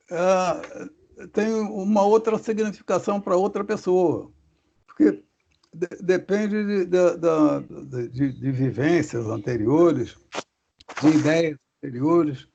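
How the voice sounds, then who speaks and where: Gravelly guy talking slow and halting on a muffled video call, keeps restarting, voice a bit high for a man. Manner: halting, searching for words with many restarts. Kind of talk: casual religious study discussion. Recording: dull lo-fi call audio.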